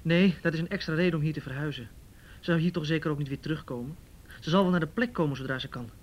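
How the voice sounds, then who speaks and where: A brisk, confident man played by an actor in a radio drama, reassuring and decisive. He talks fast in a fairly high voice, on a slightly dull recording with faint noise. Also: natural voice. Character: brisk, reassuring man taking charge of secret plans.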